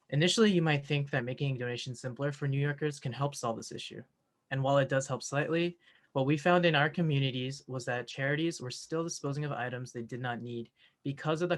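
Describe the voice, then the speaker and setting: A young man from New York reads his team's project pitch from a prepared script. His voice sits at a middle pitch with plain, even delivery. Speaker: student presenter.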